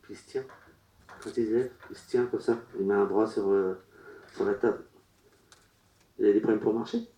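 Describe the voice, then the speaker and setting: A man working as a medium at a public séance, relaying messages in short, broken, halting phrases. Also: lowish voice, steady tone, dark tone. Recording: roomy echo.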